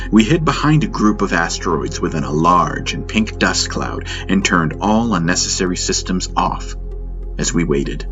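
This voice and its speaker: A man reading a scripted first-person science-fiction story as an audiobook narrator. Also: sits low. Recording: dull muffled sound under loud music. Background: loud music.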